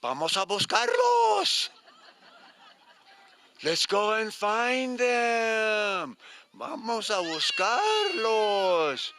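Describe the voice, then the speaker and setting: A man's voice: high voice, huge pitch swings, drawn-out words, creaky, sharp tone, a little hiss or hum, voice pushed up, heavily acted. An older man voicing a tiny female baby heron in a story, innocent and eager, calling out plaintively. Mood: eager, determined.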